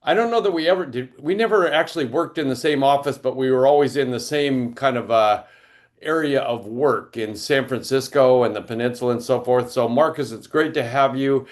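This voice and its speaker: Man hosting, average pitch, easygoing and chatty. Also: fairly quick. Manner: easygoing, conversational questions. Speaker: podcast host. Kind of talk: casual interview talk.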